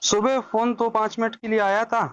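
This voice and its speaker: A man's voice: very high-pitched, little pitch movement, normal speed, slight background noise. A man chatting casually and colloquially on a recorded phone call.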